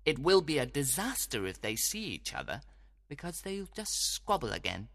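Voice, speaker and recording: Woman as a bright, excitable male sprite. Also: deep voice, normal speed, long reverb tail, full character voice. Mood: worried. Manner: excitable, dramatic. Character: mischievous, excitable male sprite serving fairy king. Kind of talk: dramatized storybook acting.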